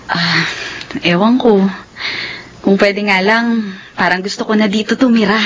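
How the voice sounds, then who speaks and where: A woman playing a gentle young city woman who longs for a simple life, in a Filipino radio drama. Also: mid-range voice, a little slow, natural voice, rough recording. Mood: wistful, relaxed.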